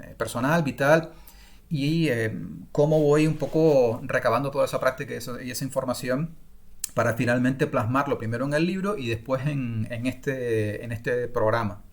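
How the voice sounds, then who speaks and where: A middle-aged man from Spain gives an unscripted online masterclass on learning languages, often pausing with fillers and restarting his sentences. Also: medium pitch. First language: Spanish. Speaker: language-learning course creator.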